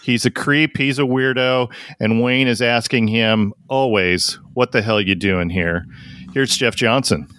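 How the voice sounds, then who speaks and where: A middle-aged man hosting a music podcast, joking his way through relaxed introductions. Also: medium pitch, a little slow, no room sound, studio quality. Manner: jokey, easygoing introductions. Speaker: podcast host. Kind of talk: casual podcast chat.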